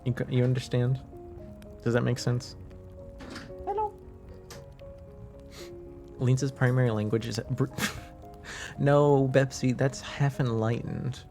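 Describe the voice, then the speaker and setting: A native French-speaking man hosting a relaxed livestream. His voice has a creaky, gravelly edge and sounds a little under the weather. He talks slowly and haltingly, trailing off often, with faint music playing behind him. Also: mid-range voice. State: slightly under the weather, deeper than usual, clearing throat. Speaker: live streamer, host. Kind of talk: casual livestream chat with viewers.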